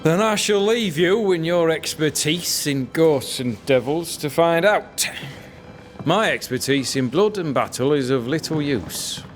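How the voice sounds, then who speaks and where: A man's voice: a little high, animated, a little slow. An actor playing a blunt, dour colonial fighting man in a video game, with archaic speech. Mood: bitter, dismissive. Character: bitter, blunt colonial soldier, a man of blood and battle. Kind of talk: dramatic video game acting. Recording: music behind the voice.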